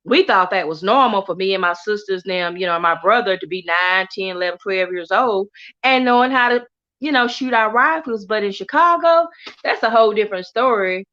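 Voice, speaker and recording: Middle-aged woman, Texan Southern accent, bright forceful voice, chatty livestream host, dull lo-fi sound. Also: mid-range voice, brisk. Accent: Southern US, Texas. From Texas. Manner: animated, laughs often, chatty and warm toward viewers. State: coughing from allergies. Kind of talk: casual livestream chat reading comments.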